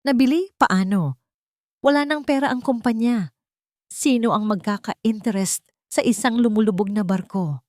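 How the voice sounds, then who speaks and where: A woman's voice: a little high, moderate pace, a little mellow, no room sound, studio quality, pitched above the performer's own voice, natural voice. A woman voicing a broken, grieving male tycoon in an audio drama, weary and despairing. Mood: stunned.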